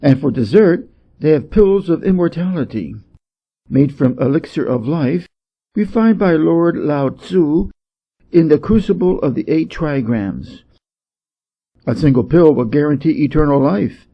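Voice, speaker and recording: A man's voice: fairly high pitch, lively intonation, measured pace, husky, full character voice. A man playing a knowing, frank stable assistant in a storybook reading, explaining plainly, on a slightly muffled recording. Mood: wistful. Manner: matter-of-fact, explanatory. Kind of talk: storybook character voice.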